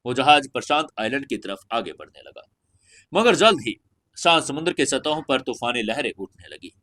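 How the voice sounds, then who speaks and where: A man's voice: mid-range voice, very animated, moderate pace, pushed and bright. A man narrating a scripted fantasy audio story in a dramatic storytelling style.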